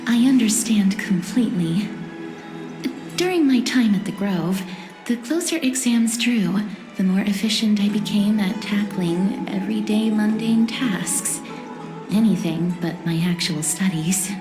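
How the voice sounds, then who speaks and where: An actress playing a gentle, polite young woman in a fantasy video game, speaking in a formal, reflective way. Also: mid-range voice, unhurried, natural voice. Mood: gently reflective. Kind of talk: scripted video game voice acting. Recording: reverberant, with music behind. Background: game music.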